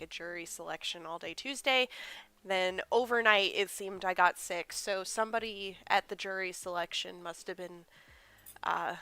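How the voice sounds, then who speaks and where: A woman's voice: lowish voice, bright, forceful tone, clear, resonant tone. Congested woman chatting on a craft stream. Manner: chatty, rambling with fillers. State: congested from a cold. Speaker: crochet streamer. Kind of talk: casual live-stream chat.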